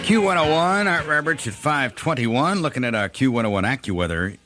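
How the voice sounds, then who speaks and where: A man's voice: medium pitch, expressive, a little fast, over loud music. An American man announcing on the radio as a DJ, reading out short items and station breaks. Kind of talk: radio announcing with weather and ad reads.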